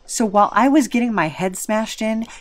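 A woman's voice: a little high, normal speed, lightly acted. A woman playing a hapless, loyal young hero with a dry, hesitant manner in an audio drama.

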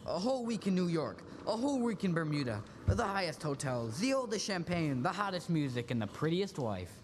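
A man's voice: a little high, sing-song, a little fast, a little hiss or hum. Young man acting restless small-town man, echoing hall. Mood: happy, eager. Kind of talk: live radio play reading. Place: large auditorium stage, standing at mic. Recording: reverberant school auditorium, music behind.

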